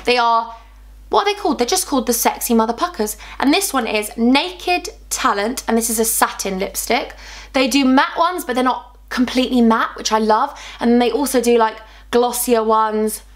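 A woman's voice: on the high side, moderate pace. A young woman, chatting bubbly and gushing in a casual beauty haul vlog, her voice a little worn. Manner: bubbly, gushing, animated with hands. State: slightly losing her voice. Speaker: beauty vlogger. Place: small indoor room with sofa.

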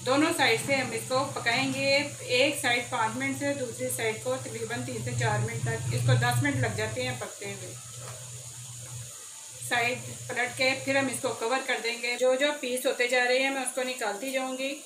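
A woman's voice: on the high side, fairly even intonation, normal speed. A woman, hosting a cooking channel and narrating a recipe step by step, addressing viewers directly from a home kitchen. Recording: echoey room with faint background noise.

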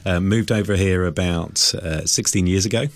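A man's voice: low voice, moderate pace. A man with a southern English accent speaking as a studio guest in a conversational radio interview, hesitantly rephrasing his thoughts. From Salisbury, southern England. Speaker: studio guest, singer-songwriter.

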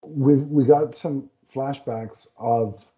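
A man in a home room rambles through a comic review, stopping often to hunt for the right word. His voice is very dark and mellow. It is captured through a headset on a dull, lo-fi video call. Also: medium pitch, fairly even intonation, takes it slowly. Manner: rambling, often searching for words. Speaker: podcast co-host. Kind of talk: casual comic review chat.

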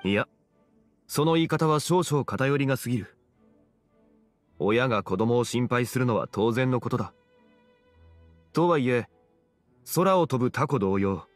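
Man acting as a composed, wise gentleman, measured and formal. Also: mid-range voice, no echo, lightly acted. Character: calm, wise, courteous gentleman offering measured counsel. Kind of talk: video game dialogue acting. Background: soft background music.

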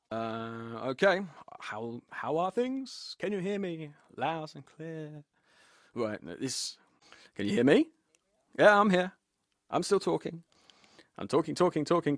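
A man in a studio recording session, playful and bantering, his pitch leaping widely while he talks slowly. The sound is close and dry. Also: no echo. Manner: playful, animated, mic-testing banter. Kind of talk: game voice session outtakes.

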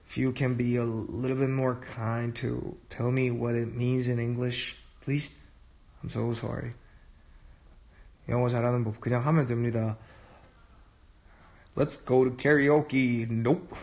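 Young guy, casual late-night phone livestream, reads comments and chats back, laid-back. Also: medium pitch. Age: young adult. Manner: relaxed, chatty, switching between English and Korean. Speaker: livestream host. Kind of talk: casual live stream chat, reading viewer comments aloud. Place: dim room indoors at night. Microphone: phone held close at arm's length.

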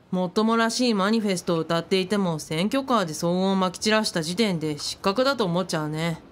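A woman's voice: mid-range voice, a little hiss or hum, lightly acted. A woman playing an opinionated, blunt eighteen-year-old youngster in a radio drama. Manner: blunt, grumbling.

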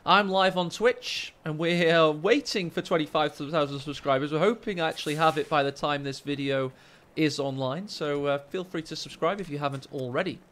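A man with a deep voice casting a strategy game live, excitable and joking, speaking into a desk microphone in a small room at home. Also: moderate pace, few pauses. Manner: excitable, jokey, rising with the action. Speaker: game caster. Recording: game sound effects under the voice. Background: game gunfire and ambience.

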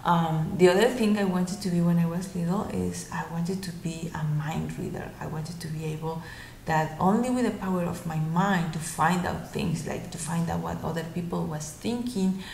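A woman's voice: lowish voice, steady tone, normal speed, gravelly. Mexican-accented woman on a roomy webcam. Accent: Mexican Spanish. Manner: warm, gentle, storytelling tone for children. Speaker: children's book author answering questions. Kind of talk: casual answers to a video interview. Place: living room at home. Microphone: laptop webcam. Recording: roomy home webcam recording, faint hiss.

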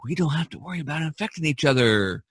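A man hosting an artists' podcast over a video call, rambling and halting with many fillers. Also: a little high, lively intonation, husky, no room sound, clean studio sound. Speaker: podcast host. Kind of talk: casual video-call chat.

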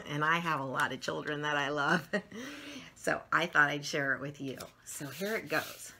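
A middle-aged woman reading a story aloud for children, expressively and with drawn-out emphasis on words. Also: low voice, pushed and bright, slightly rough. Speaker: children's story channel host reading picture books aloud. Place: small furnished room at home, indoors.

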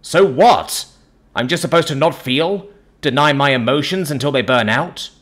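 A man's voice: fairly high pitch, normal speed, fairly bright tone, voice pushed up. Man acting a bitter, snarling angry man. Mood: indignant. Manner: snarling, sarcastic.

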